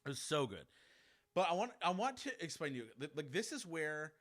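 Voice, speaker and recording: A man's voice: on the high side, big pitch swings, a little fast, a bit bright. A man, hosting a casual solo podcast and talking straight to his listeners in a chatty, self-deprecating way. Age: 40s. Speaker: podcast host.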